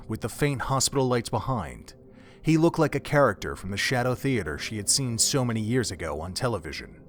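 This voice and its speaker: A man reading scripted narration for an audio drama in a solemn, literary storytelling manner. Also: mid-range voice.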